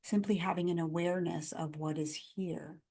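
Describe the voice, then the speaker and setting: A woman guiding a body scan meditation in a gentle, calm, instructional manner, in measured phrases with pauses between them. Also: on the low side. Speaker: meditation guide.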